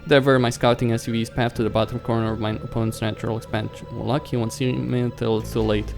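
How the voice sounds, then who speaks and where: A man's voice: medium pitch, level tone, fast, gravelly. A man hosting a let's play, reading a visual novel script aloud and stumbling over some words. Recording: music behind the voice.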